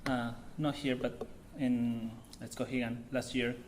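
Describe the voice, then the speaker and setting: A man gives a casual talk to students, at an ordinary pitch for a man. He gropes for words and restarts his sentences, and his phrasing is loose. There is a faint hiss of noise behind his voice. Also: flat delivery. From Chile. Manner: halting, searching for words, loose non-native phrasing. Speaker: visiting artist giving a talk. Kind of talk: informal artist talk to students. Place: college lecture room.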